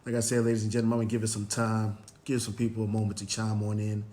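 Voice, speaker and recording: A man hosting a motivational Facebook Live show, hoarse and raspy from cheering, greeting viewers by name, with plenty of "um" and "you know". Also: fairly low pitch, monotone, racing, mellow. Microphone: live stream, phone or webcam.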